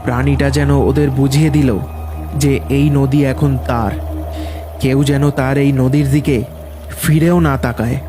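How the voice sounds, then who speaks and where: A man's voice: medium pitch, fairly even intonation, measured pace, music in the background. A man narrating a scripted ghost story in a dramatic storytelling style.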